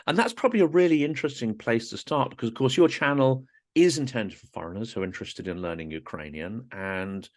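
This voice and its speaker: A man's voice: mid-range voice, lively intonation, quick pace, few pauses. A man hosting an interview, fluent and thoughtful, setting up long questions for his guest. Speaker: host, interviewer.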